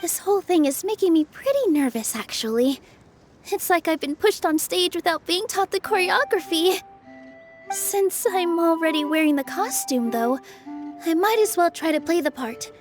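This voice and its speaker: Young fairy dancer in a game, really high sweet voice, polite n earnest, soft music behind. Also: female voice, expressive, normal speed. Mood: nervous, hopeful. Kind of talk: video game voice acting.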